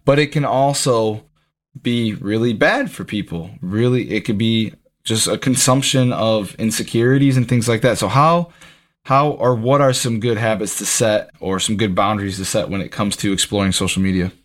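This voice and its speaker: A man's voice: fairly low pitch, normal speed. A man of about thirty hosting a podcast, who jokes about himself and asks long, rambling questions. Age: 30s. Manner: casual, self-joking, asks rambling questions. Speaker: podcast host. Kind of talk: conversational podcast interview.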